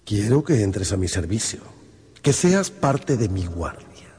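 Radio drama villain: imperious cardinal, heavily rasping, deliberate, menacing, in long reverb. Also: male voice, on the low side, sing-song, warm tone, exaggerated voice. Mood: smooth, commanding. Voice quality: husky, raspy. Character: haughty, menacing powerful male cardinal. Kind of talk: Spanish-language radio drama acting.

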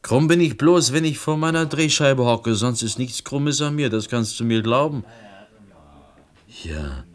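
An actor playing a talkative, earnest and persuasive poor potter in a German radio play. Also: male voice, medium pitch, animated, a little slow, close to an everyday voice. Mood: earnest, emphatic.

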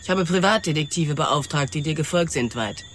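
An actress playing a wounded, scornful wife who has been betrayed, in a radio drama. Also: female voice, low-pitched, fairly quick, slight background noise, close to an everyday voice. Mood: bitter.

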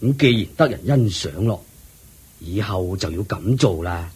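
A gentle elderly lord from a radio drama, with a Hong Kong Cantonese accent. His voice is low, heavily husky and unhurried. It rises and falls with lively, fatherly warmth. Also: full character voice. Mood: approving. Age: older. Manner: slow, measured, approving. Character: elderly kindly male lord, generous father-in-law.

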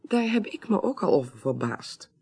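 A woman playing a composed, courteous court clerk who investigates a death in a radio drama, brisk and formal. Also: lowish voice, sing-song, a little mellow, husky, full character voice. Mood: formal, inquiring. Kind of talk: naturalistic Dutch radio drama acting.

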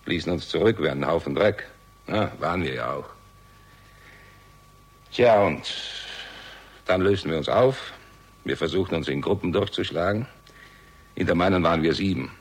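A man's voice: low-pitched, normal speed, pauses often, slightly rough. A man playing a bitter, cynical war veteran in a German radio crime drama, speaking in a curt, sardonic way. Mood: grim, bitter.

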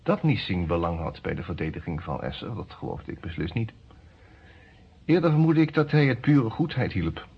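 An actor playing a male defence lawyer in a radio play, formal and deliberate, with hesitant pauses. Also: fairly low pitch, expressive. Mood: measured narration. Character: male defence lawyer, narrating his case, hesitant under questioning. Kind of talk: Dutch radio play narration and dialogue. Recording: dull, noisy old radio recording.

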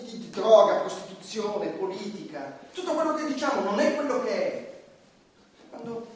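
A man's voice: high voice, a little mellow, lightly acted. Guy acting as fiery rebel comedian on trial, preachy n emphatic, stage play recorded from far off, bit of hiss. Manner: emphatic, repetitive, preaching against hypocrisy and power. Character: defiant, impassioned male satirical comedian on trial. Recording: echoey distant stage recording, faint noise.

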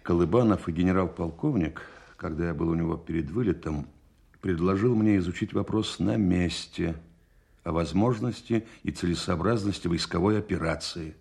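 Deep gravelly senior general. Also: male voice, moderate pace, a little mellow, full character voice. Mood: calming, measured. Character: measured, weighty visiting senior general, calm and probing. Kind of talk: Soviet-style radio play acting.

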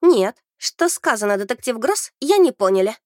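A woman's voice: fairly high pitch, sing-song, normal speed, a little mellow, no echo, studio quality. A woman voicing a feisty, stubborn young female werewolf trainee in an audiobook, with a blunt manner. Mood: halting, earnest. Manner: blunt, grumbling, speaking a foreign tongue brokenly.

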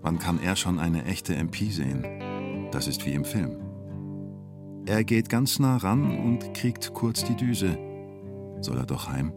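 An actor narrating a radio play in the present tense as an observant storyteller, in terse, understated fragments. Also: male voice, low voice, lively intonation, takes it slowly, long pauses, over loud music, exaggerated voice. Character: male storyteller following an onlooker at a siege, observant.

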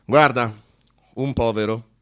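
A man's voice: mid-range voice, fairly even intonation, slow pace, a bit bright, smooth, no echo, clean studio sound. Man acting a pushy, needling young boy. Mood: eager, bossy. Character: pushy, provocative neighbourhood boy.

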